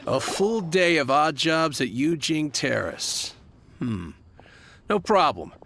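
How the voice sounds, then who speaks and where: A man's voice: on the high side, sing-song, slow, gravelly, fairly bright tone, exaggerated voice. An actor playing a shrewd male labourer for hire in a video game, curt and matter-of-fact. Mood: businesslike.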